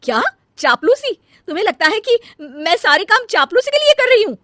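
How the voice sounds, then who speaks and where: A woman's voice: very high voice, sing-song, a little fast, bright, forceful tone, no echo, studio quality, pitched above the performer's own voice, full character voice. A woman voicing a dutiful, hardworking elder daughter-in-law in a family comedy cartoon, earnest and emphatic, easily exasperated. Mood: scolding. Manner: earnest, emphatic, scolding when annoyed. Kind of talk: acted Hindi family comedy story.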